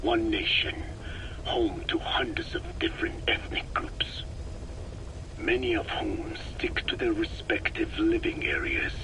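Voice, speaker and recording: A very low-pitched, elderly Navajo man speaks in a breathy, whispered rasp. He takes his time over every phrase, grave and philosophical, like a formal sage in a game's recorded briefing. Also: rough recording. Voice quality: hushed, breathy rasp, barely voiced. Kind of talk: video game voice acting, philosophical monologue.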